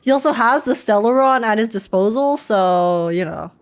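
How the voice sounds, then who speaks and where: A young woman giving casual, animated, slangy commentary as she reacts to a game, repeating herself for emphasis. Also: on the high side, moderate pace. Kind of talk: casual excited live commentary. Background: game music.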